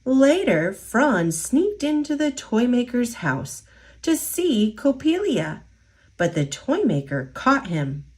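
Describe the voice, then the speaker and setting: A woman reading a children's picture book aloud in an expressive storytelling voice. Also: fairly high pitch, slow pace.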